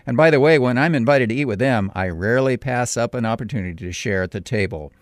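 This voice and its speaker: A middle-aged man from California, a pastor, reading a scripted radio commentary in a deliberate, emphatic way with sudden rises in pitch on key points. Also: lively intonation, dry recording.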